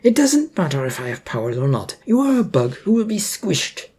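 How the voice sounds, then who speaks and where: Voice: mid-range voice, sing-song, moderate pace, darkish tone, slightly rough, pitched above the performer's own voice. A storyteller voicing an arrogant, snarling male superhero villain who talks with threatening contempt. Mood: menacing.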